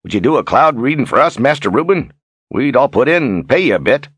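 Man acting rustic tavern regular, audiobook fantasy, curious, plain-spoken, husky, raised pitch. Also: very animated, a little fast, a bit bright, no room sound. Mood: curious, eager.

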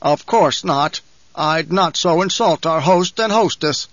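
A man's voice: mid-range voice, normal speed, dry recording, lo-fi sound, close to an everyday voice. A man doing a stiff, sneering banker. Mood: fearful.

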